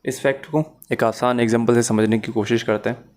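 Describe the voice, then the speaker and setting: A man's voice: medium pitch, fairly even intonation, brisk, a little mellow. Methodical Pakistani man teaching. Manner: methodical, step-by-step explaining. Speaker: law teacher. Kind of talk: explanatory lecture mixing in English terms.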